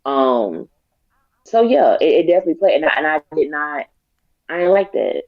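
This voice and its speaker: A raspy-voiced woman co-hosts a casual talk show, making her points deliberately and with emphasis. The recording is dry and has a dull, lo-fi sound. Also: low-pitched, big pitch swings, dry recording. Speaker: podcast co-host. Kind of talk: casual discussion.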